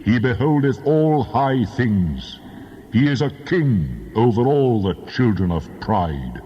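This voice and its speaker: An actor playing a mighty, wrathful God in a dramatized scripture reading, solemn and declamatory, with a husky voice. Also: male voice, mid-range voice, big pitch swings, full character voice. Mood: grand, awe-inspiring. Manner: solemn, declamatory, grandly measured. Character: God, mighty, majestic, wrathful male deity. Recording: muffled, long cavernous reverb.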